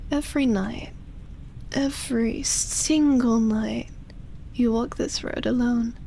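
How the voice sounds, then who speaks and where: Woman acting as ancient female vampire, high breathy voice, whispery, seductive and possessive, extremely slow teasing ASMR roleplay. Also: fairly even intonation, warm tone, a little hiss or hum. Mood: teasing, hushed. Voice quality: breathy, often dropping into a whisper. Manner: slow, drawn-out, teasing, with lingering pauses.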